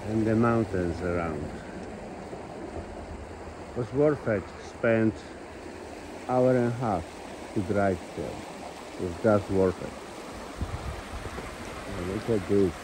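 A man with as a giving slow, enthusiastic commentary into a phone while filming outdoors at a ski hill and a mountain river. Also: lowish voice, background noise. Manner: slow, enthusiastic, simple phrasing with pauses. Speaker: vlogger.

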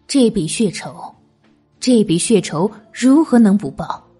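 A woman narrating a historical novel as an audiobook, in an expressive, literary storytelling manner. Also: mid-range voice, a little slow, slightly rough, faint music behind.

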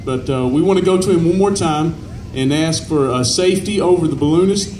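A man, a pastor speaking informally and praying in public in an earnest, halting way. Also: a little high, a little mellow. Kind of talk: informal public remarks and prayer. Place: covered outdoor festival stage. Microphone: handheld mic through PA, distant camera. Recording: echoey outdoor PA, noisy ambience.

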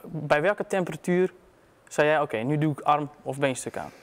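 A young man hosts a studio programme with a fairly high voice. His questions come in a casual, conversational style. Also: moderate pace. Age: young adult. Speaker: studio host. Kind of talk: casual interviewing. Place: indoor studio. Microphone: lapel mic.

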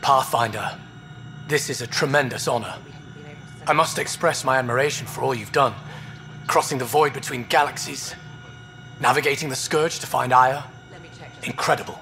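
A man playing a courteous, earnest alien astronomer in a video game, speaking in formal, measured phrases. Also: medium pitch, bright, forceful tone, faint music behind. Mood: awed, honored. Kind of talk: video game dialogue acting.

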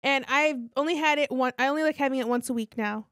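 Female podcast co-host, blunt and profane, fairly high voice, dry recording. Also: fast talker, fairly bright tone, no room sound. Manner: blunt, swears freely. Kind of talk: casual chat.

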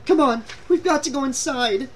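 A man's voice: very high voice, animated, a little fast, a bit bright, slight background noise, pitched above the performer's own voice. A man voicing a scared, exasperated young woman in a story, whining and shrieking.

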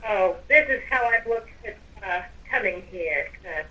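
A woman's voice: mid-range voice, lively intonation, unhurried, sharp tone, muffled recording, slight background noise. A woman improvising in-character roleplay during a video game, with theatrical, stiffly formal phrasing. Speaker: Minecraft roleplay player.

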